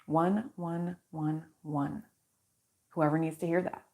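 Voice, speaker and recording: A woman with a naturally low, deeper-set voice hosts a casual livestream card reading. She talks in a chatty, reassuring way, stringing affirmations together into her microphone. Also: flat delivery, takes it slowly, a little mellow. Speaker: tarot reader and channel host.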